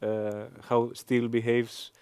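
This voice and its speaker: A man in his thirties with a Greek accent, answering interview questions with many hesitant pauses and fillers. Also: medium pitch, level tone, darkish tone, clear, resonant tone, no echo. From Greece. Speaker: interview guest, engineering professor.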